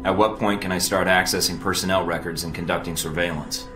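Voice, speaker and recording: An actor playing a cocky, impatient young secret agent in a video game, speaking in a terse, dry, smug way. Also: male voice, low-pitched, steady tone, fairly quick, natural voice. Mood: curious, smug. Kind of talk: video game cutscene acting. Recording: roomy echo with music behind.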